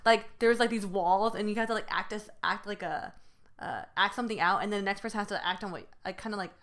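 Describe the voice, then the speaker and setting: A woman's voice: mid-range voice, fast talker, fairly bright tone. A woman co-hosting a podcast, chatting about growing up with many fillers. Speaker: podcast co-host. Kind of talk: casual chat.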